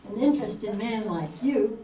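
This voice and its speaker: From a distance in an echoey room, a woman plays a teasing Southern temptress for radio. Her voice is dark and mellow, a bit high, and flirtatious. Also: animated, moderate pace, natural voice. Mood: flirty, teasing. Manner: smooth-talking, teasing. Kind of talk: 1950s radio noir acting on stage. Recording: echoey room, distant mic, background noise.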